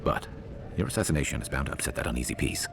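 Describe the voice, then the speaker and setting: An actor playing a gruff, wry, loyal male adventuring companion in a fantasy video game, with a worldly manner. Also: sing-song, rapid-fire, exaggerated voice. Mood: wry, concerned. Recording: loud rumbling noise behind.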